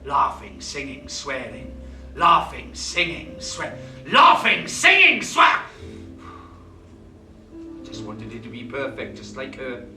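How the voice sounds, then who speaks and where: An actor playing a volatile, violent jilted man. He swears heavily and swings from hushed confiding to roaring rage. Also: medium pitch, flat delivery, moderate pace, close to an everyday voice. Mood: tender. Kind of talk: theatrical monologue. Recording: echoey room, distant mic, music behind.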